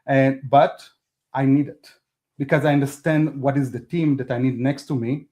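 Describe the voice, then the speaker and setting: Guy w hebrew as first language, warm mellow tone, halting, kinda restarts a lot. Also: mid-range voice, little pitch movement. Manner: halting, restarts and repeats, non-native phrasing. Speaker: podcast guest. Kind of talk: casual interview talk.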